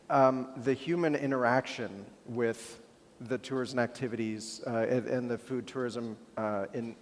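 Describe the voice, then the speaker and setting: A man speaking on a conference panel, hesitant with many fillers and restarts, then emphatic on his points. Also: mid-range voice. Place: large conference hall. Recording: hall reverb.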